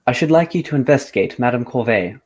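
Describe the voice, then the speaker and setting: Man acting composed, courteous gentleman detective. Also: medium pitch, lively intonation, close to an everyday voice. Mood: composed, formal. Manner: formal, measured, polite. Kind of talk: radio drama acting.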